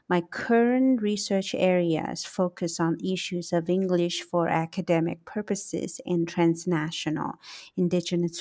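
A middle-aged woman with a Taiwanese accent hosting a solo reflective podcast, speaking in a deliberate, emphatic way. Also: fairly low pitch, darkish tone. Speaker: podcast host, English teacher.

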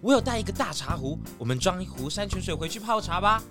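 A man's voice: high-pitched, animated, fast, music in the background. A man with a Taiwanese Mandarin accent voicing a friendly, enthusiastic male sika deer in a children's audio drama, chatty and eager. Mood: welcoming, proud.

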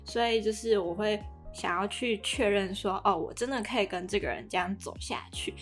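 A woman's voice: medium pitch, normal speed. A woman in her early twenties with a Taiwanese Mandarin accent co-hosts a casual, explanatory podcast, drawing out the particles at the ends of her phrases. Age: early 20s. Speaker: podcast co-host. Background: background music.

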